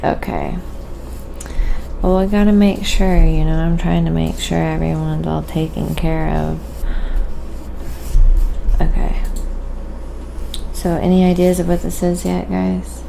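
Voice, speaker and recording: A woman hosting a casual painting livestream, chatting with viewers and thinking aloud in a relaxed, halting way. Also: fairly low pitch, creaky, noisy background. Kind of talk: casual livestream chat while painting, reading viewer comments.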